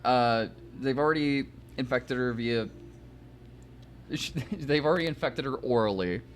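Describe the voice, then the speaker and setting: A man's voice: medium pitch, measured pace, slight background noise. A man streaming a game, giving casual, joking commentary among friends.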